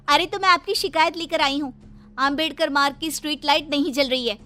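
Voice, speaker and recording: A woman voicing a brash, outspoken young daughter-in-law in an animated moral story, indignant and assertive. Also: high voice, a little fast, voice pushed up. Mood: demanding.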